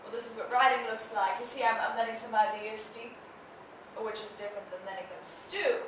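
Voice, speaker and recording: A teenage girl playing a sharp-witted, hard-drinking Texan woman newspaper columnist in a theatrical competition monologue, wry and swinging from hushed to loud. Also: a little high, fairly even intonation, fairly quick, close to an everyday voice. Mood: wry, musing. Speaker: competitive speech performer. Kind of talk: dramatic monologue recited for a speech competition. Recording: echoey room, distant mic, faint noise.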